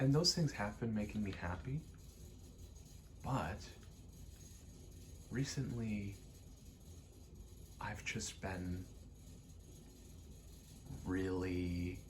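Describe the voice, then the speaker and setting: Very deep-voiced man, soft ASMR ramble. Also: background noise. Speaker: ASMR vlogger and aspiring actor. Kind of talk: ASMR ramble, casual chat.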